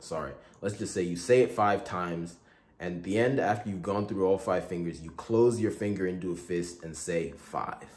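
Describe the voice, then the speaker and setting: Casting: young man from Igboland with a deep voice, laid-back tutorial host, rambling delivery with plenty of "um"s and restarts. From Igboland, Nigeria. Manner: relaxed, rambling, with many fillers and self-corrections. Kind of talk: casual spoken tutorial.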